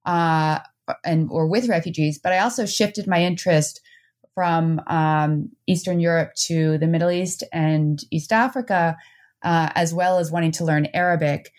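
A woman's voice: low voice, measured pace, darkish tone. A woman in her forties being interviewed as an author and professor, answering remotely from home with many restarts and fillers. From Netherlands and New Jersey. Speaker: author and anthropology professor, guest.

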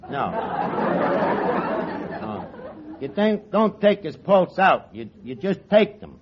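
An actor playing a wisecracking, scheming tavern manager who talks ungrammatically, in a 1940s radio comedy. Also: male voice, sits high, big pitch swings, very slow, a loud crowd, heavy background noise, heavily acted. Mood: bossy. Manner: wisecracking, fake sincerity, ungrammatical. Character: fast-talking scheming tavern manager, middle-aged man. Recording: dull old radio broadcast, faint audience laughter.

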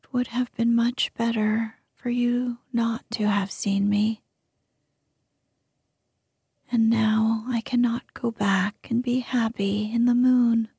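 A gentle moonbeam spirit, sorrowful and pleading, whispered by a woman. The voice is breathy, with a low gravelly edge and a dark, mellow tone. Every word is carried on a hush, very slowly and close to the ear. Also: flat delivery, no echo, heavily acted. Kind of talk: whispered ASMR storytelling.

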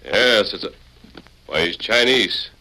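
An actor playing a steady, authoritative masked hero in an old radio Western. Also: male voice, on the low side, slow pace, full character voice, rough recording. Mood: commanding. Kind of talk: 1940s radio Western acting.

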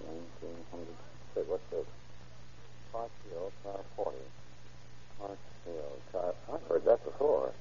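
An actor playing a brisk, commanding police officer in an old-time radio crime drama. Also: male voice, deep voice, expressive, dark tone, slight background noise. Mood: intent, puzzling out. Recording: dull, lo-fi vintage radio recording.

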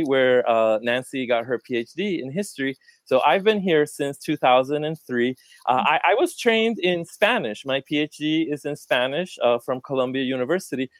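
A man's voice: on the high side, dry recording. A man from Puerto Rico, a professor and podcast guest, talking in long reflective sentences with small self-corrections. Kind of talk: casual academic talk.

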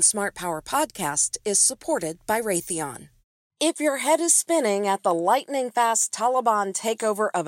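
A woman hosting an interview podcast, moving between scripted introduction and questions to guests. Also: mid-range voice. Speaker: podcast host.

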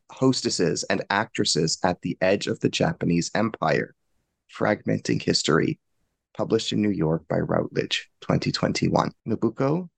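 A man hosting a podcast interview, speaking in a formal, courteous and measured way. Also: low-pitched, gravelly, dry recording. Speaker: podcast host.

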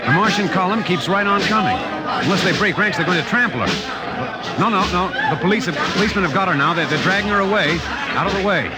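A man's voice: high-pitched, quick pace, close to an everyday voice. An actor playing a dramatic, rattled male parade announcer in a vintage radio drama. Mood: alarmed, urgent. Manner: portentous, stammering when alarmed. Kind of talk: 1950s radio drama acting as live commentary. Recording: noisy vintage radio recording.

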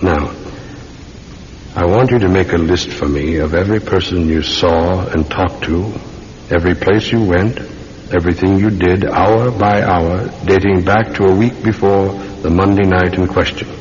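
A man's voice: sits low, steady tone, normal speed, natural voice. A man acting an earnest, methodical Scotland Yard sergeant in a classic radio drama, questioning politely and insistently. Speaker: actor in a radio mystery serial. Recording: muffled old radio recording with faint hiss.

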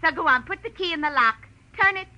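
Bright, brassy, tough-talking dame. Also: female voice, very high voice, animated, fast talker, muffled recording, heavily acted. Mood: cool, menacing. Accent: American. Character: brassy, hard-edged female nightclub performer, scheming and defiant. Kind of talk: 1940s radio noir acting.

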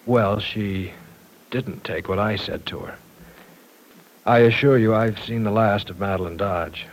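An actor playing an earnest, apologetic university professor in an old-time radio drama, formal and courteous yet insistent. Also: male voice, lowish voice, a little slow, a little hiss or hum, close to an everyday voice, poor audio. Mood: defensive.

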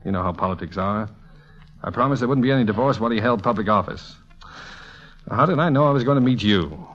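A man's voice: fairly low pitch, fast talker, fairly bright tone, lightly acted. A man playing a flustered, exasperated playboy artist in love, blustery and theatrical in 1940s radio comedy style. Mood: troubled, tender. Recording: dull old radio recording.

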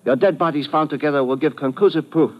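A man's voice: on the high side, fast talker, close to an everyday voice. Man acting a smug foreign villain, formal and clipped. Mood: smug, menacing. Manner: formal, precise, clipped wording with dropped articles. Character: scheming foreign doctor villain, smug and coldly menacing. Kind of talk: old-time radio drama acting. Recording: vintage muffled radio broadcast.

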